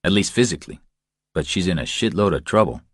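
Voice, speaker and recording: A man's voice: low-pitched, animated, fast talker, no room sound, studio quality, pitched above the performer's own voice. A man voicing a sour, defensive male state trooper in an audiobook, curt, stubborn and dismissive. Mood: sour, grim.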